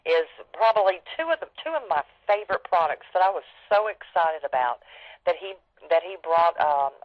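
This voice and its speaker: A woman's voice: deep voice, no echo. A woman giving an informal sales team update on a conference call, speaking enthusiastically and with emphasis. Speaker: network marketing leader giving updates. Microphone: telephone. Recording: dull, band-limited conference phone line.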